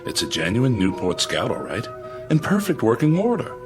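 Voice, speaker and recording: An actor playing an earnest, uncertain army major in a radio drama, speaking in a measured, deferential, thoughtful way. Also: male voice, medium pitch, huge pitch swings. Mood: businesslike, intrigued. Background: music.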